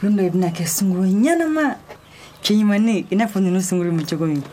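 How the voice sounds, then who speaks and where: A woman's voice: medium pitch, lively intonation, fairly quick, warm tone, slight background noise, close to an everyday voice. A woman playing a character who chats with a girl in conversational film dialogue. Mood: animated.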